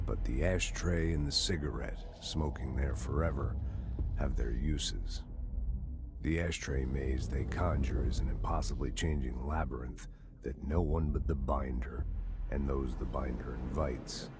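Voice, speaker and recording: An actor playing a guarded, authoritative director in a video game, delivering a measured, grave and formal monologue. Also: male voice, a bass voice, steady tone, very noisy. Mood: grave, wary. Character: guarded, authoritative male director brooding over his secrets.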